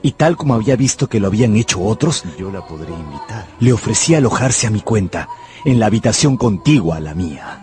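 Fervent gambler, animated radio-drama voice. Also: male voice, on the low side, brisk, music in the background. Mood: smitten. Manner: dramatic, fervent, literary storytelling. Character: passionate male gambler recounting his obsessive love and luck. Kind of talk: radio drama narration and dialogue.

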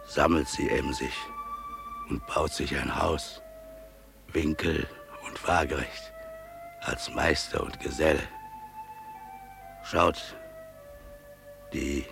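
An actor playing a devout father in a radio play, praising God's creation in solemn, exalted declamation with drawn-out emphatic phrases. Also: very deep voice, vocal fry, exaggerated voice.

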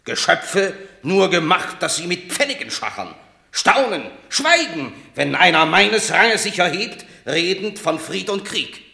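An actor playing a proud, stubborn warrior son in a radio drama. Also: male voice, high voice, sing-song, unhurried, gravelly, bright, forceful tone, reverberant, heavily acted. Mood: scornful.

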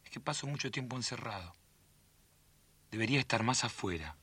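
An actor playing an anxious, self-doubting son in a radio drama, earnest and brooding, with an Argentine accent. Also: male voice, medium pitch, animated, a little fast, bright, forceful tone, husky, heavily acted. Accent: Argentine, Rioplatense. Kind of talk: radio drama monologue and dialogue.

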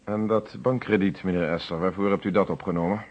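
An actor playing a stern, probing police inspector in a radio play, questioning in a formal, sceptical, methodical way. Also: male voice, deep voice, steady tone, brisk. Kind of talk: Dutch radio play acting. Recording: dull, lo-fi old radio recording.

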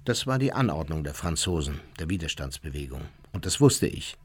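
A man's voice: extremely low pitch, sing-song, brisk, slightly rough, heavily acted. A man acting in a radio play as a boastful former Nazi officer who recounts his wartime exploits conversationally, self-satisfied and acting out what was said. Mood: proud, cunning. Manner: self-satisfied, vivid storyteller who acts out quotes.

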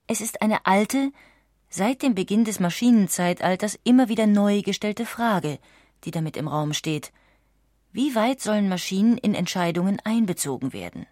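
A woman with a standard German accent reading scripted documentary audiobook narration, factual and measured. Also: mid-range voice, expressive, a little mellow, dry recording, studio quality.

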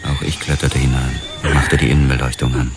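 An actor narrating a noir radio drama as a weary, observant police detective, cool and detached. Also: male voice, rumbling low, little pitch movement, a little fast. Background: music.

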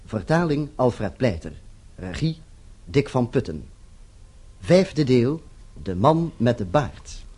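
A man's voice: mid-range voice, very animated, takes it slowly, pauses often, noisy background. A man formally announcing the title and credits of a radio play. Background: music.

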